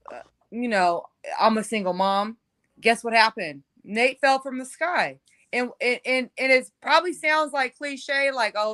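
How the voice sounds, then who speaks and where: Smooth-voiced woman in her forties, candid and emphatic. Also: medium pitch, fairly bright tone, no echo. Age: 40s. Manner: emphatic, self-assured, conversational. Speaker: interview guest. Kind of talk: candid personal storytelling.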